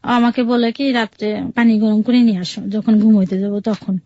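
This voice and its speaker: A woman's voice: mid-range voice, steady tone, normal speed, mellow, muffled recording, slight background noise. A woman with a Bangladeshi Bengali accent tells her personal story in a radio interview, recounting it plainly and conversationally with quoted dialogue. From Bangladesh, outside Sylhet. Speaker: guest telling her life story.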